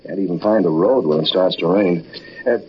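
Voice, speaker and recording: An actor playing a wry, protective young husband, bantering in a 1940s radio drama. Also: male voice, low-pitched, a little fast, lightly acted. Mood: easygoing. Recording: muffled, noisy 1940s radio recording.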